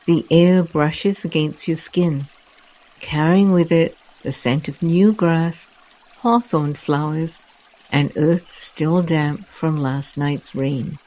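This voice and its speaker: Guided meditation narrator: soft and soothing, very slow pace with long pauses, mellow tone. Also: mid-range voice, fairly even intonation, rough recording.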